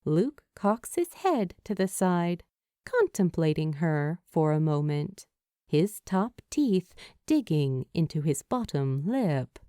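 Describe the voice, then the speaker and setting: A woman giving expressive, dramatic scripted narration as an audiobook reader of fan fiction. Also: sits low, slow, clean tone, dry recording, clean studio sound.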